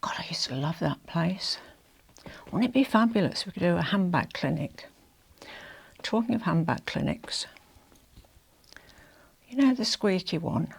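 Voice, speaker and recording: An older woman with a croaky, hoarse throat, chatting casually to camera as a fashion vlogger, rambling with confiding asides. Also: lowish voice, lively intonation, measured pace, pauses often. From England.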